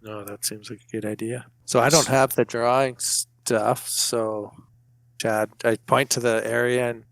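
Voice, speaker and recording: A man's voice: mid-range voice, level tone, unhurried, creaky, a bit bright, dry recording. A man taking part as a player in a tabletop roleplaying game, casually saying what his character does.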